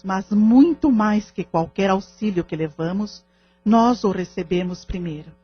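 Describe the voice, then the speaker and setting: A woman's voice: fairly low pitch, slightly rough. A Brazilian woman playing a humble, devout medium in an audio drama, teaching in a patient, formal way. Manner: didactic, formal. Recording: dull lo-fi audio with music underneath.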